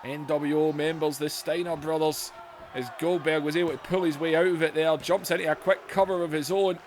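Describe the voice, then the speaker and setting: A man commentating a wrestling video game, shouting at big moves as his voice goes hoarse. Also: fairly high pitch, steady tone, a little fast, slight background noise. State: voice going hoarse.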